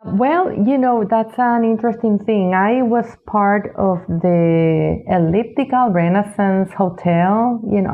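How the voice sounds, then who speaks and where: A woman in her sixties from Puerto Rico, an architect answering interview questions in an unhurried way, with many "you know" asides. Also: medium pitch, gravelly. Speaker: architect and executive director, guest. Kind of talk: interview answers, reflective storytelling. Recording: tinny phone-like line.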